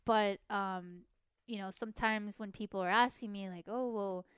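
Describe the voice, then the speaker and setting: A soft-spoken young woman, a racing driver, talking modestly and hesitantly as a podcast guest. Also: mid-range voice, steady tone, normal speed, clear, resonant tone, dry recording, studio quality. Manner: modest, hesitant, many fillers. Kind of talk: casual podcast conversation.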